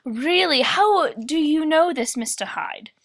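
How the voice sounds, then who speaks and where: Woman playing polite high-voiced radio host. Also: animated, measured pace, vocal fry, heavily acted. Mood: inquisitive. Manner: formal, courteous, hesitant when taken aback. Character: polite, probing female radio news host, easily flustered. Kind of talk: amateur radio play.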